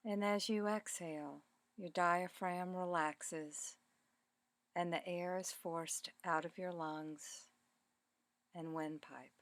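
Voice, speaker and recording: Slow, low-pitched woman guiding meditation. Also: dry recording. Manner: calm, deliberate, measured phrasing with pauses. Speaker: meditation teacher. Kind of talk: guided meditation, body scan narration.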